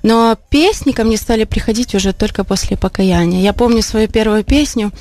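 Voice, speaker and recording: Reflective woman, mid-pitched, halting delivery. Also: darkish tone, dry recording. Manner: halting, reflective, frequent filler phrases. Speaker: interview guest, singer-songwriter. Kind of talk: conversational interview. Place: radio studio.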